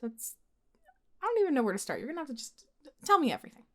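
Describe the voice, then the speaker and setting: A young woman acting as a blunt, secretly smitten best friend in an intimate, conversational ASMR roleplay, with a casual, expressive delivery. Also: sits high, rapid-fire. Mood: incredulous, teasing. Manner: casual, expressive, sighing, sweary.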